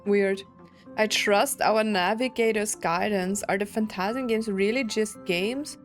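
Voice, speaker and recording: A woman streamer reacting to a game in an informal, slangy way. She thinks aloud in a mid-pitched voice, with faint music playing behind her. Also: measured pace. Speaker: gaming streamer. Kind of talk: casual reaction commentary over a game.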